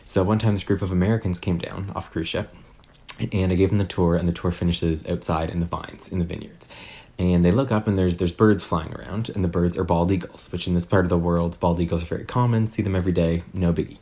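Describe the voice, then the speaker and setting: A man's voice: deep voice, fairly even intonation, fast, warm tone. A man telling a laid-back anecdote about a past job. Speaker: former winery worker.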